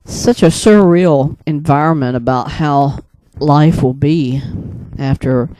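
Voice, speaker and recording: A man casually telling an unscripted story to viewers as a video creator, rambling and often halting mid-thought. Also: on the high side, warm tone, no room sound, low-quality recording. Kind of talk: casual unscripted monologue recounting a dream.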